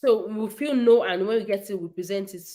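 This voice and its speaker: A woman's voice: mid-range voice, a little fast, warm tone. A woman, hosting a casual livestream and answering viewers' nursing questions in an emphatic, repetitive way.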